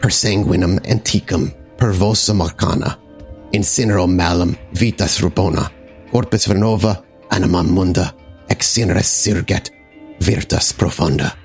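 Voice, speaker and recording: Solemn male vampire sorcerer, played by a male game master, low halting ritual chant, music underneath. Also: steady tone, creaky. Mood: solemn incantation.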